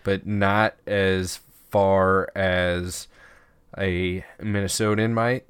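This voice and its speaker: A man's voice: low voice, little pitch movement, very slow, fairly bright tone, clear, resonant tone, no room sound. A man from the American Midwest co-hosting a casual, profane review podcast, talking at length in a laid-back way. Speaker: podcast co-host.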